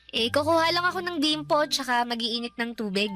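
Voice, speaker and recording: Really high voiced young maid, polite n fussy, caring, radio drama w soft music. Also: female voice, expressive, moderate pace. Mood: gentle, caring. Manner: polite, fussing, hesitant. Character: caring, deferential eighteen-year-old female housemaid. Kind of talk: Tagalog radio drama acting.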